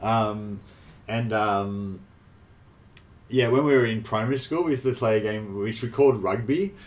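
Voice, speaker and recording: An Australian man chatting casually on a live game stream, rambling and halting, with some swearing. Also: lowish voice. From New South Wales, Australia. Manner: rambling, halting, foul-mouthed. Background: faint game music.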